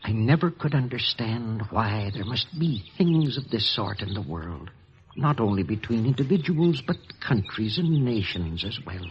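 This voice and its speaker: An actor playing a gentle, devout mission priest who speaks softly and formally, in 1940s radio acting style. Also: male voice, lowish voice, expressive, normal speed, lightly acted. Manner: soft-spoken, solemn, formal. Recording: dull lo-fi vintage radio recording.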